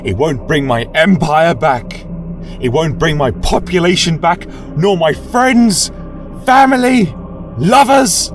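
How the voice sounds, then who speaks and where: A man voicing a grieving, scorched fantasy warrior who is cold yet grimly merciful, speaking in halting, solemn pronouncements. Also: fairly high pitch, lively intonation, recorded from a distance, over music, pitched above the performer's own voice. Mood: anguished. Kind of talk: dramatic fantasy audio storytelling.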